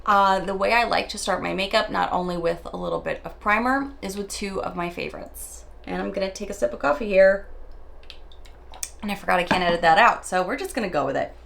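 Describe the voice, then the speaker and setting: A woman talks straight to camera while doing her makeup, her morning voice still raspy and her pitch sitting in an ordinary middle range. She rambles a little nervously, stumbles over words and laughs at herself along the way. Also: fairly quick, fairly bright tone. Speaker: beauty vlogger. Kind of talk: chatty get-ready-with-me monologue.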